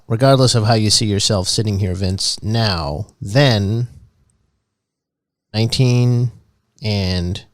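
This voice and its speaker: A man hosting a comedy podcast in a mock public-radio style, earnest and halting with many restarts. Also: on the low side, no echo, clean studio sound. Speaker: host.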